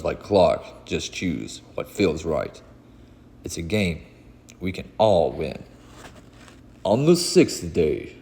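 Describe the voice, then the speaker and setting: A man narrating a comic book read-along in a dramatic, solemn, theatrical way with deliberate pauses. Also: low voice, warm tone. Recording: long hall reverb.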